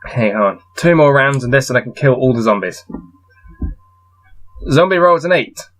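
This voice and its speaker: A man reading a gamebook aloud and adding low-key, wry muttered commentary as he plays. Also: expressive, normal speed, fairly bright tone, faint music behind. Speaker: solo gamebook player and reader.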